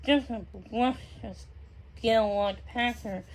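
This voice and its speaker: A woman reading a children's story aloud, haltingly, with stumbles and repeated words. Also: a little high. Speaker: storybook reader.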